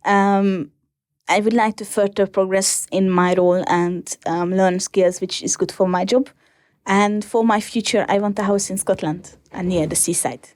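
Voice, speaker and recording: A young woman with a Hungarian accent, answering interview questions in a hesitant, casual way with many pauses and fillers. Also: mid-range voice, fairly even intonation, a little mellow, dry recording. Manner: hesitant, many ums and restarts. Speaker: interview guest.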